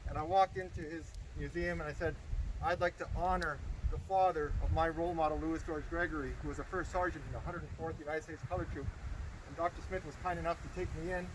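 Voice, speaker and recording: A somewhat high-voiced man speaks earnestly to an outdoor gathering, telling his story with gratitude. Noise around him fills the open-air recording. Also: fairly even intonation, fast talker. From Estonia. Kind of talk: outdoor public speech, personal story. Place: outdoors by a river.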